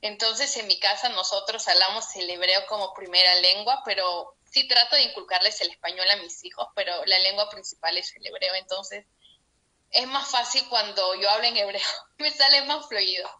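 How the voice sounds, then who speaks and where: Bright-voiced Peruvian woman sharing her story. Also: medium pitch, normal speed, low-quality recording. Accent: Peruvian Spanish. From Peru. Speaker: interviewee. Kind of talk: personal account in an interview. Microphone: video call.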